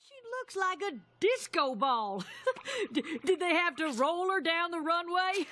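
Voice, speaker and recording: A blunt, mocking cartoon pony girl, with a very high and sharp voice. She wisecracks over faint background noise. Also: lively intonation, measured pace, full character voice. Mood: amused, mocking.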